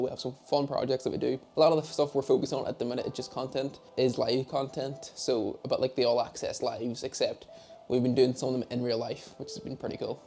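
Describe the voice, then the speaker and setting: Casual young northern irish guy, bit of gravel in his voice, chatty and jokey, soft music under him. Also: medium pitch. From Derry, Northern Ireland. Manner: chatty, self-mocking, rambling asides. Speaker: esports head of media. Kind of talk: casual Q and A to camera. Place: home office setup.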